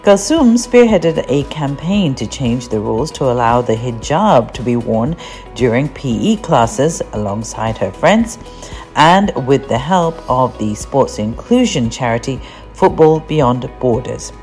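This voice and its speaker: A woman with a remarkably deep voice presents a podcast. She reads a scripted introduction as host, with faint music playing underneath. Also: animated, unhurried, darkish tone. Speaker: podcast host, editor-in-chief.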